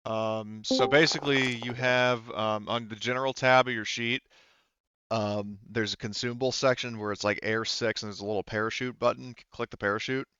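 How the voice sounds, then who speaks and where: A man with a smooth, fairly low voice works as a game master. He talks casually and haltingly, rambling through rules and narration. The sound is close and dry, a little dull. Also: fairly even intonation, bright, forceful tone, no echo. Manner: halting, rambling, informal with mild swearing. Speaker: game master of an online tabletop roleplaying game.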